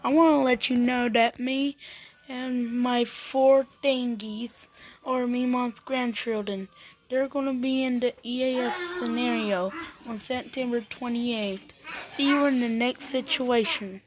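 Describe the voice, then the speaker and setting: Slow woman reading emergency alerts. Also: on the high side, fairly even intonation, poor audio. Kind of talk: scripted emergency broadcast announcement.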